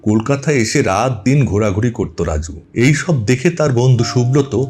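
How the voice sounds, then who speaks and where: A man's voice: mid-range voice, lively intonation, normal speed, a little mellow. Male ghost story narrator over music.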